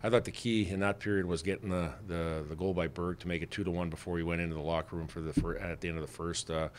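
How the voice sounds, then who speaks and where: A man's voice: low-pitched, fairly even intonation, fast talker, a little hiss or hum. A middle-aged man, a head hockey coach, answering reporters after a game in a plainspoken way, with many fillers and restarts, at a desk mic in a press room. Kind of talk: postgame press conference answers. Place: press room with sponsor backdrop. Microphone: desk mic on stand.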